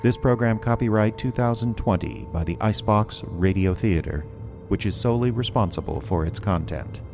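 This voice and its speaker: A man's voice: on the low side, moderate pace. A man reading scripted credits as the announcer of an audio drama. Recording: music under the voice.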